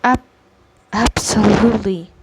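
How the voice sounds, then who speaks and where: A woman gameplay streamer reading game dialogue aloud in a halting, stumbling way. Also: medium pitch, fairly even intonation, very noisy.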